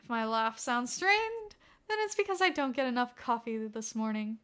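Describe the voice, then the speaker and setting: A woman plays a proud, frazzled detective in an audio story. He talks through clenched teeth in a high, bright, indignant growl. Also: lively intonation, moderate pace. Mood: strained cheer.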